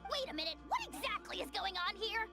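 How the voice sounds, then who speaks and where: An actor voicing a small, childlike, blunt creature companion in a video game, with a squeaky, exclamatory delivery. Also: expressive, fast talker. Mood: exasperated. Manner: exclamatory, brash. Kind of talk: video game character acting. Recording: game music behind the voice.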